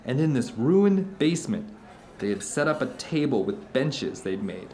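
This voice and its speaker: A man's voice: mid-range voice, very animated, normal speed, mellow. An actor playing an earnest, fervent middle-aged man who tells rambling stories of strange experiences in an audio drama. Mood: hushed, suspenseful. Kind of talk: audio drama dinner-table monologue.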